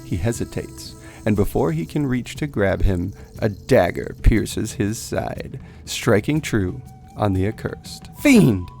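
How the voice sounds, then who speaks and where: A man reading dramatic scripted fantasy narration in a solemn storyteller manner, with a creaky voice. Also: fairly low pitch, expressive, unhurried, a little mellow, faint music behind.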